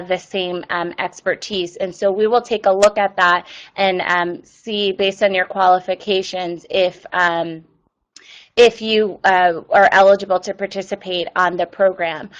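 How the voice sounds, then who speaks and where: A woman's voice: medium pitch, muffled recording. Woman presenting, many ums. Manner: reads viewer questions aloud. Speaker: webinar presenter. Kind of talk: presenting and answering questions.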